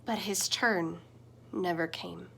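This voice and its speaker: A young adult woman reading a children's picture book aloud as a teacher, gentle and expressive, with big swings in pitch and loudness. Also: slow, vocal fry, noisy background.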